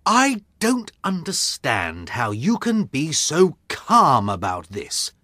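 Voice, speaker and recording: A man doing an excitable, indignant doctor friend. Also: fairly high pitch, takes it slowly, no room sound, pitched above the performer's own voice, full character voice. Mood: cross. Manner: agitated, raised and indignant. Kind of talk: audiobook character reading. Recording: dry close studio sound.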